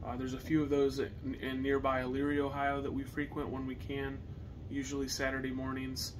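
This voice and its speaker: A young man with an American accent chatting casually to camera as a reseller, with halting phrasing. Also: mid-range voice, completely flat delivery. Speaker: reseller co-hosting a video channel. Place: partially finished basement, indoors. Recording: noisy basement room recording.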